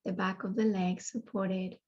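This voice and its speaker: A woman leads a guided relaxation as a yoga nidra teacher. Her voice is mellow and dark, with very little rise and fall in pitch. She speaks soothingly, in unhurried phrases separated by long pauses. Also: little pitch movement, husky, muffled recording. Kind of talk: guided meditation.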